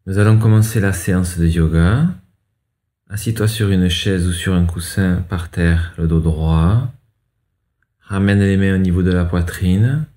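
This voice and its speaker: A man guiding a yoga class, giving measured step-by-step instructions with pauses. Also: sits low, steady tone, warm tone. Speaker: yoga teacher and radio show host. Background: music under the voice.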